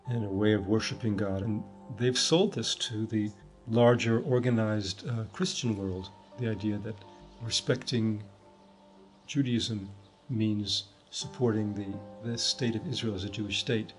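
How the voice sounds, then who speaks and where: Man from the US, first language Hebrew, lowish voice, thoughtful and halting; faint music under. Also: steady tone. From United States. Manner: thoughtful, halting, many restarts and fillers. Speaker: interviewee. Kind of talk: documentary interview.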